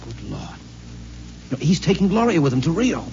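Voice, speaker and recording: An actor playing a brisk, practical male friend who speaks firmly in a 1950s radio drama. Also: on the high side, huge pitch swings, creaky, darkish tone, faint music behind, full character voice. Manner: brisk, firm. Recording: dull 1950s radio broadcast with faint hum.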